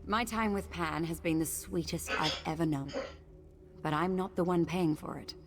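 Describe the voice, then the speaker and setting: A guilt-ridden exiled sea captain, voiced by a woman for a video game, speaking in a low, grim register. She sounds remorseful and heavy with regret. A faint hiss lingers under her voice. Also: moderate pace, lightly acted.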